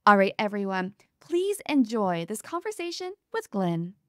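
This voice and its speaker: A woman hosting a podcast interview, upbeat and enthusiastic, asking questions in a casual, quick way. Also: mid-range voice, sing-song, dry recording, studio quality. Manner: upbeat, enthusiastic, fast-talking. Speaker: podcast host.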